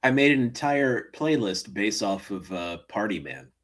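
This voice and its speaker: A man's voice: on the low side, lively intonation, a little slow, fairly bright tone. A man in his forties chatting casually on a movie watchalong, laughing often and quoting film lines. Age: 40s. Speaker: co-host.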